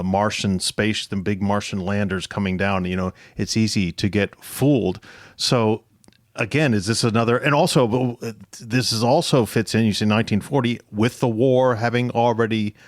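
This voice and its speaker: A man's voice: lowish voice, dry recording, studio quality. A man hosting an interview conversationally, thinking aloud and rephrasing as he sums things up. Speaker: interview host.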